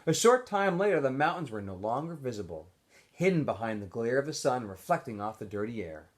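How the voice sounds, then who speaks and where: A man reading a story aloud as a teacher would, in an expressive, animated narrating style. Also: medium pitch, a little fast. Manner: animated, acts out dialogue in shifting voices.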